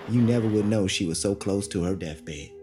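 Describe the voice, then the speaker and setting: A man's voice: on the low side, moderate pace, dark tone, natural voice. An actor playing an earnest, humble family man with a folksy Southern US accent in an audio drama. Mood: chatty. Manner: folksy, conversational. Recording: music behind the voice.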